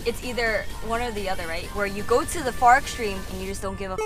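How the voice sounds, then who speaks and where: A young woman guest in a casual conversation, loud and chatty, with lots of likes and fillers. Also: medium pitch, fast talker, music in the background.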